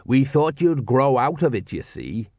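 A man's voice: mid-range voice, sing-song, darkish tone, dry recording, studio quality. A man voicing a worried old male dwarf king who hesitates and fumbles for words. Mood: hesitant. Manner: hesitant, halting with ums.

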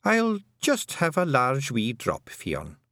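A man's voice: medium pitch, huge pitch swings, slow, sharp tone, no room sound, clean studio sound, voice pushed up, exaggerated voice. A man voicing a tiny, dying old fairy clan mother, bossy and shrewd, in a lilting rural brogue. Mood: weary, wry. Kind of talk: audiobook character voice.

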